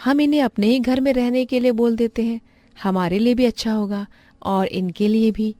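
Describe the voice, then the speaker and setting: A woman voicing a kind, humble young village wife who runs a roadside eatery in a cartoon story, speaking earnestly and gently. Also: fairly high pitch, fairly even intonation, normal speed, no room sound, close to an everyday voice.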